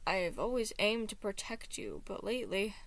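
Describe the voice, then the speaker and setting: A woman's voice: mid-range voice, expressive, normal speed, creaky. A woman voicing a gloomy, self-doubting male figure of anxiety, cool, guarded and sardonic. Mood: downcast.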